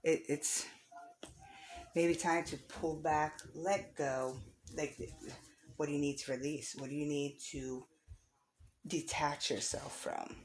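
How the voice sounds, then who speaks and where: Woman, low pitch, casual tarot reader, encouraging, repetitive phrasing, faint background noise with clicks. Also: steady tone, slow, pauses often. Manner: encouraging, reassuring. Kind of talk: casual spiritual card reading, addressed to the viewer.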